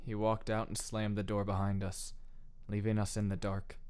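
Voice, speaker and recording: A man reading first-person scripted audiobook narration in a low-key manner. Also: monotone, moderate pace, darkish tone, clear, resonant tone.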